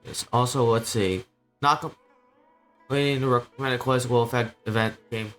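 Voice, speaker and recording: A man livestreaming a video game with casual, halting, rambling commentary. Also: medium pitch, fairly even intonation, fairly bright tone, soft background music. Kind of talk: casual gameplay stream commentary.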